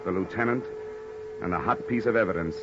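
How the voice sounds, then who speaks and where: Man playing a deep-voiced, deadpan American radio detective. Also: a little fast, close to an everyday voice. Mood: wry narration. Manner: dry, deadpan wisecracks. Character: cool, wisecracking hardboiled insurance investigator, a man. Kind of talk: old-time radio noir acting and narration. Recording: dull vintage radio recording, music behind.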